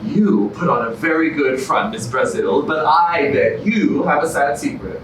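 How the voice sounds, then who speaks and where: A man's voice: fairly high pitch, normal speed, close to an everyday voice. An actor playing a cynical, suspicious, needling man in a staged musical comedy. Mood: sarcastic, suspicious. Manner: sarcastic, needling. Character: cynical, suspicious, grouchy male reality show contestant. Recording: echoey, noisy stage from far off.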